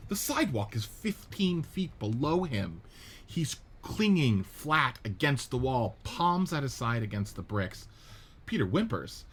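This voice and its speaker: A man narrating the stage directions of a radio play script in a steady, descriptive reading. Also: mid-range voice, a little hiss or hum.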